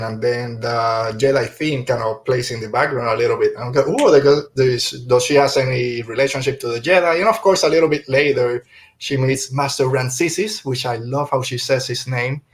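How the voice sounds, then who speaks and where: A man's voice: mid-range voice, normal speed. A middle-aged man who is a native Spanish speaker, hosting a podcast and chatting casually through a review. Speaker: podcast host.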